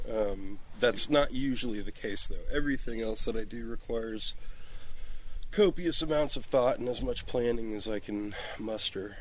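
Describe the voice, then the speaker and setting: A man's voice: lowish voice, slight background noise, rough recording. A man in casual conversation as a podcast guest talking about film work, slightly slurring his words, recorded outdoors on a porch. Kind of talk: casual chat.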